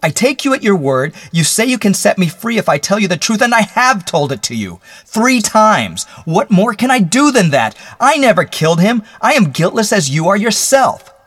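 A man's voice: mid-range voice, animated, a little fast, no room sound, studio quality, pitched above the performer's own voice, lightly acted. A man voicing a desperate, overwrought condemned prisoner with pleading, vehement delivery in a melodramatic audiobook. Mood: frantic. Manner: pleading, panting, vehement outbursts.

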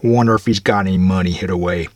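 A man voicing a furtive, mean petty thief who talks in a surly, defensive way with rough grammar. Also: sits low, fairly even intonation, fast talker, dry recording, studio quality. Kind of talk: storybook character voice.

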